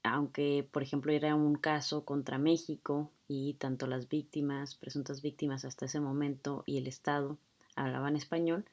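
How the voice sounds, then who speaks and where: A woman's voice: sits low, flat delivery, normal speed, a little mellow. A woman lecturer explaining a court hearing video to her students in a conversational way, with frequent fillers. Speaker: law lecturer.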